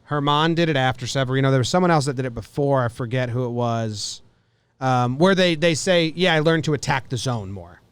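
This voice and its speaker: Male podcast host, informal sports banter, smooth clean delivery, no room echo. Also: mid-range voice, a little fast. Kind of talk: casual sports chat.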